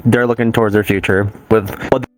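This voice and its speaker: A man's voice: fairly low pitch, steady tone, brisk, dry recording, low-quality recording. 20s guy cohosting, blunt n jokey, dead dry mic. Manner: blunt, emphatic, joking. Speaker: podcast co-host. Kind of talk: casual podcast chat.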